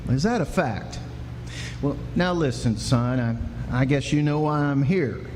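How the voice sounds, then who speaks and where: An actor playing a good-natured, bumbling frontier sheriff, folksy, hesitant and wry, in a live western radio play. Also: male voice, mid-range voice, measured pace, darkish tone, natural voice. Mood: kindly, coaxing. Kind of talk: live old-time western radio drama acting. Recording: echoey hall with faint noise.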